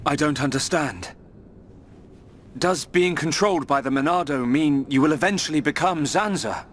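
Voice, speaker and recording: An actor playing a resolute, compassionate young hero in a video game cutscene, speaking in an earnest, plain, determined way. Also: male voice, on the high side, moderate pace, a bit bright, slight background noise, natural voice. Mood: puzzled.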